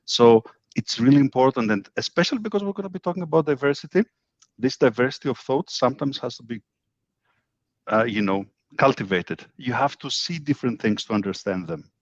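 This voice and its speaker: A man's voice: medium pitch, expressive, a little fast, no echo. A man from Greece, reflecting conversationally as a co-host in an online discussion. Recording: dull lo-fi online audio.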